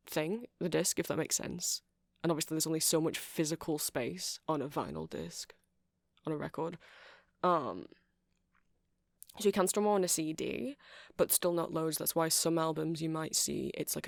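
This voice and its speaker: A man's voice: on the high side, vocal fry, no room sound, clean studio sound. A man playing a nerdy, friendly vampire music shop clerk in an ASMR roleplay, rambling and hesitant as he explains things. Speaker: shopkeeper character in ASMR roleplay.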